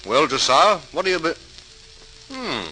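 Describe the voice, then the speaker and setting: An actor playing a hearty, practical, admiring brother with emphatic delivery in an old-time radio drama, on a noisy vintage recording. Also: male voice, fairly high pitch, sing-song, measured pace, pushed and bright, faint music behind, exaggerated voice. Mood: encouraging, delighted.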